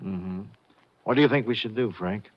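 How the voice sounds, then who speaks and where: An actor playing a smug, middle-aged crime boss in a 1950s radio drama, measured and mockingly polite in his menace. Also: lowish voice, close to an everyday voice. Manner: measured, mockingly polite, echoing others' words. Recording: dull old radio recording.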